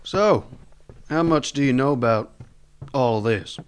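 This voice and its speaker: A man's voice: mid-range voice, expressive, slow pace, clean tone. A man playing a laconic, wry, no-nonsense older US marshal in a western radio serial. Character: dry, weary, no-nonsense older Wild West marshal.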